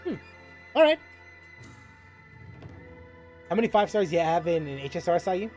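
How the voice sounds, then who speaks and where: Animated gaming streamer over music. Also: medium pitch, fast. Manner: animated, joking, mildly profane, reads chat aloud. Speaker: gaming livestreamer. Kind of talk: casual livestream chat with game commentary. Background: background music.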